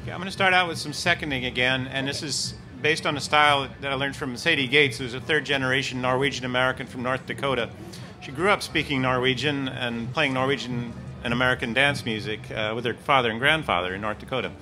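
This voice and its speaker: Quick-talking male musician, instruments clearly audible behind him. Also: medium pitch, pushed and bright, faint music behind. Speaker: musician panelist. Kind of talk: stage explanation between tunes.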